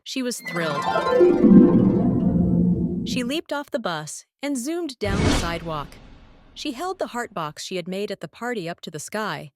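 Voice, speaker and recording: A woman narrating a children's story as a teacher would, expressive and clearly phrased for young listeners. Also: mid-range voice, a little slow, pauses often, over loud music. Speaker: read-aloud teacher and storyteller. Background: sound effects and noise.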